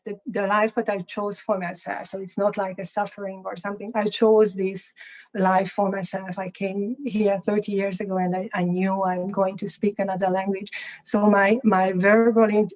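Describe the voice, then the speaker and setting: A woman with a Hungarian accent and Hungarian as her first language, an artist speaking in an interview, halting and thoughtful with many restarts. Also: medium pitch, flat delivery, darkish tone, dull audio. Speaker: guest artist. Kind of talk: interview, reflective talk.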